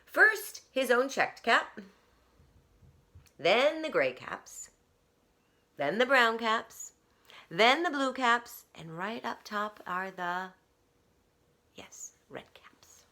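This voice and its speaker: A woman reads a children's story in a high, lively voice. She takes her time, lets lines fall to a dramatic whisper and draws young listeners in with questions, like a teacher at story time. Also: drawn-out words, pauses often. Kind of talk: animated picture-book story reading.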